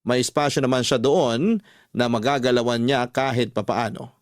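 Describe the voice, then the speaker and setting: A man's voice: medium pitch, moderate pace, no room sound. Dry-sounding male radio storyteller. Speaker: radio host narrator. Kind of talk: scripted first-person story narration. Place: dry studio.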